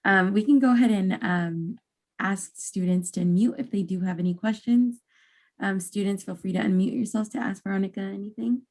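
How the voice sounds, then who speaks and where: A woman's voice: medium pitch, normal speed, a little mellow. A woman moderating a career center session, speaking politely with some fillers on a video call.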